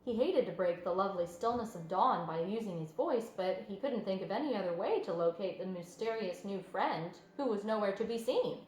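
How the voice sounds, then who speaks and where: Female read-aloud narrator for children's fiction. Expressive, varied intonation, slightly high voice; echoey room. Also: a little fast, hardly any pauses, a little hiss or hum. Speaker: storybook reader.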